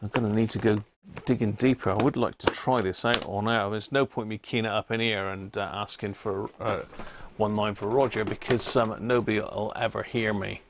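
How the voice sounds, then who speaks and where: A man's voice: on the low side, steady tone, normal speed, background noise. A British man hosting a casual livestream, thinking aloud in a rambling, self-mocking mutter. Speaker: livestream host showing radio gear.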